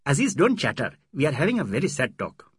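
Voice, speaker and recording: A man's voice: mid-range voice, wildly swooping pitch, quick pace, slightly rough, no room sound, clean studio sound, pitched above the performer's own voice, exaggerated voice. A man voicing an older male host, patient and wry, speaking up over a friend. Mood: dry.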